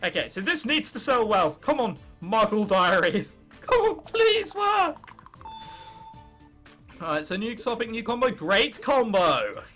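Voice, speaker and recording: High, animated young English gamer. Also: male voice, measured pace, soft background music. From England. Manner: self-mocking, sarcastic, swears freely. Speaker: gaming video host. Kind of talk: casual gameplay commentary.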